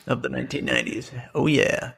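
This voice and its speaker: A man hosting a casual podcast chat, talking in a rambling, halting way. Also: medium pitch, level tone, gravelly, a bit bright, husky. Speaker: podcast host.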